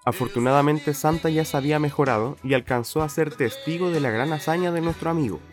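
A man's voice: fairly high pitch, quick pace. A man with a Chilean accent narrating a scripted radio play in a colloquial storytelling manner. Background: music.

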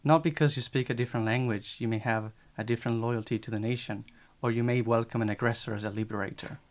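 A man's voice: mid-range voice, steady tone, quick pace. A man speaking on a discussion panel in an earnest, insistent way, repeating his phrases for emphasis. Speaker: panel guest.

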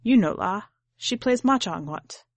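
A woman's voice: medium pitch, expressive, a little slow, no room sound. A woman voicing a plain-spoken, mournful old grandfather in a storybook, terse and colloquial. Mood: matter-of-fact.

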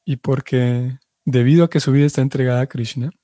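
A man giving a religious class, explaining things in a measured way and often restarting his sentences as he searches for words. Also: medium pitch, steady tone, mellow, no room sound, studio quality. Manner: explanatory, restarts and self-corrections. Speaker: devotional teacher.

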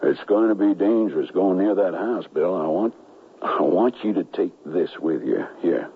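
An actor playing a grave, loyal gentleman who tells a western tale in a radio drama, speaking in a measured way. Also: low-pitched, a little hiss or hum. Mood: concerned. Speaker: narrator and character. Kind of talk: 1970s radio drama acting and narration. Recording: narrow-band old radio recording. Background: loud dramatic music.

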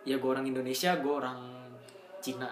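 A man's voice: mid-range voice, level tone, fairly quick, reverberant, slight background noise. A young man co-hosting a casual chat, explaining ideas through everyday examples in slangy talk and laughing often.

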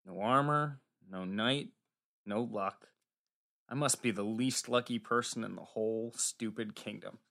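A man's voice: fairly low pitch, unhurried, vocal fry, a bit bright. A man voicing an earnest, unlucky young squire in a storybook, with a hesitant, glum manner. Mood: miserable. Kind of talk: audiobook character acting.

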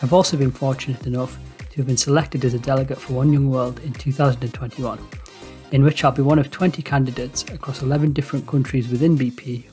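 A man's voice: medium pitch, darkish tone. A young man hosting a podcast, reading a scripted introduction in an upbeat, formal way. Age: young adult. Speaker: podcast host. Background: faint background music.

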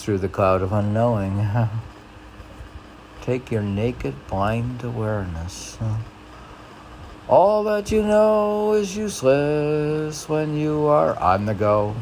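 A man reading his own poetry and adding rambling casual asides in a musing, halting manner. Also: medium pitch, vocal fry, a little mellow, slight background noise.

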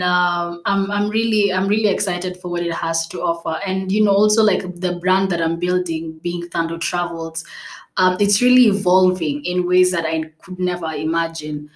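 A young woman whose native language is Swahili, hosting a casual solo podcast, chatty and playful with frequent laughs, fillers and restarts. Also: on the low side. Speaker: podcast host.

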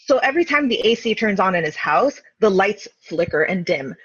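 A woman's voice: mid-range voice, very animated, a little fast, a bit bright, husky. A woman guest on a podcast, chatting casually and laughing often over a video call. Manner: giggly, playful, puts on silly voices. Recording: dull lo-fi call audio.

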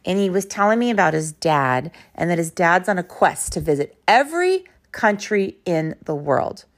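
A woman speaks as the host of a personal podcast, her voice a little low and slightly hoarse. She talks informally and conversationally, leaning on key words for emphasis as she tells stories and puts questions to the listener.

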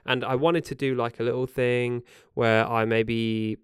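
A man with a New Zealand accent chats loosely on a home podcast, often correcting himself mid-sentence and trailing off. His voice is smooth and resonant, recorded close and dry. He keeps a relaxed, rambling conversational flow. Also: medium pitch, measured pace, no room sound. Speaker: podcast co-host. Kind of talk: casual chat. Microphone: podcast mic.

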